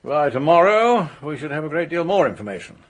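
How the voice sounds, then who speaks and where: A man playing an authoritative senior police officer in a radio detective drama, formal and measured. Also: a little high, very animated.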